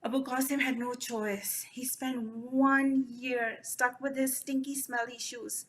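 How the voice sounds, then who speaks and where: A woman storyteller whose first language is Urdu, telling children's folk tales with lively expression. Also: sits high, slow, poor audio. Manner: animated, expressive, addresses the children. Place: library event.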